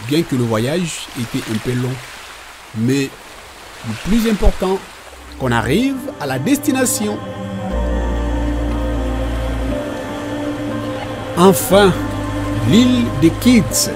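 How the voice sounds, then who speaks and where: Guy playing a patient cartoon dad explaining stuff, highish voice, goes up and down a lot, faint music. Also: very animated, takes it slowly. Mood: relieved. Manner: didactic, reassuring. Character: patient father teaching children about God and nature. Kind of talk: explanatory children's cartoon dialogue.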